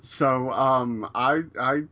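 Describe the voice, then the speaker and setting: A man's voice: medium pitch, fairly even intonation, extremely slow, sharp tone. A man hosting a podcast interview, enthusiastic and often stammering on restarts. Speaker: podcast host. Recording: dull lo-fi audio.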